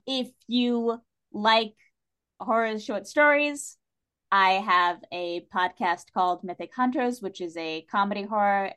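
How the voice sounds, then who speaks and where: A woman chatting casually as a podcast guest, with an animated, jokey way of talking. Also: mid-range voice. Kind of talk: casual chat.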